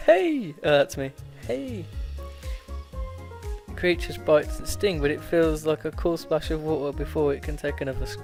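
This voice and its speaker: A man's voice: on the high side, lively intonation, normal speed, darkish tone, soft background music. A man hosting a let's play, reading a visual novel aloud with stumbles, self-corrections and laughs.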